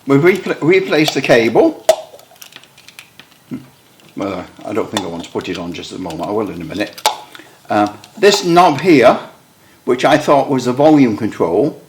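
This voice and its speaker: A man's voice: medium pitch, a little hiss or hum. A British man, a radio hobbyist, explaining his equipment in a casual, rambling show-and-tell with wry, self-deprecating asides. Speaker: radio hobbyist video maker.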